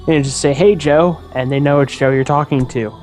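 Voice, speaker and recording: A man giving casual, joking game commentary, speaking haltingly and repeating his words. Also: fairly high pitch, steady tone, darkish tone. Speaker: let's play co-commentator. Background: game music.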